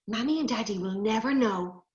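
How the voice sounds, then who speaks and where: A woman voicing an excitable, naughty little boy in a children's story, squealing with glee. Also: a little high, moderate pace, close to an everyday voice. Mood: eager. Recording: roomy, slightly dull recording.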